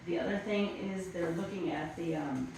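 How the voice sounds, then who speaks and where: A soft-spoken woman at a town planning meeting, speaking hesitantly with many ums. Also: on the low side, normal speed. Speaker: planning commission member. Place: echoey town office meeting room. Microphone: distant room mic. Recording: echoey room from far off, background noise.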